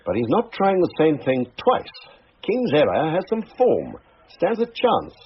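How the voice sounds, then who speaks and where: A man's voice: fairly high pitch, wildly swooping pitch, measured pace. An actor playing a disdainful upper-class English brigadier, patronising and clipped in his politeness, in a radio crime drama. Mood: worldly disdain. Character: haughty, disdainful upper-class brigadier policing horse racing. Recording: dull, faintly noisy radio recording.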